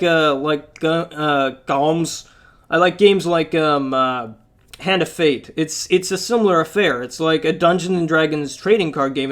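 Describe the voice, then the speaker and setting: Young man, slightly high voice, hoarse from losing his voice, rambling, chatty game commentary. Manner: rambling, chatty, many fillers.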